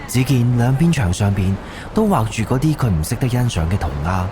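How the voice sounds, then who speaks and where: A man's voice: mid-range voice, big pitch swings, a little fast, noisy background. A man with a Cantonese accent narrating a scripted audio drama as its storyteller.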